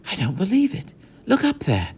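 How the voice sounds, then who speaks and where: A man voicing a quick, excitable young boy. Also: fairly high pitch, a little hiss or hum, voice pushed up, close to an everyday voice. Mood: amazed. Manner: urgent, quick.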